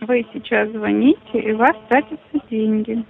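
A woman's voice: a little high, normal speed, vocal fry. Female call-center operator on narrow phone line. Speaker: bank debt collection operator.

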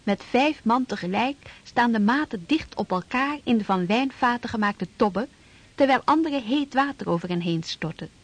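A woman's voice: on the high side, lively intonation, normal speed. A woman reading scripted narration for a historical radio drama.

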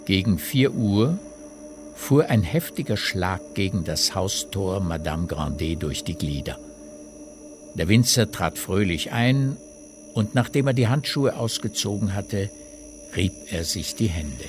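A man's voice: low voice, lively intonation, measured pace. A man delivering scripted literary narration in a radio play. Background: music.

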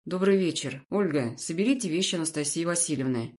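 A woman voicing an imperturbable, commanding man in an audiobook story, speaking in a calm, measured, authoritative way. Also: sits low, slightly rough. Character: imperturbable, commanding wealthy man in a formal suit.

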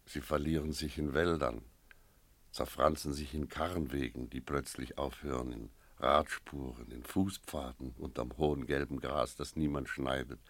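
Village innkeeper, deep, measured, reflective, literary radio drama, dry close sound. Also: male voice, steady tone, dry recording. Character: sober village innkeeper telling an eerie tale. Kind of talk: radio drama narration and dialogue.

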